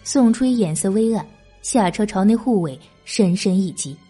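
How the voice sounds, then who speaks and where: Expressive woman narrator with a soft-edged voice. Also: medium pitch, moderate pace, breathy edge, soft background music. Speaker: audiobook narrator. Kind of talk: dramatic scripted narration of a historical novel.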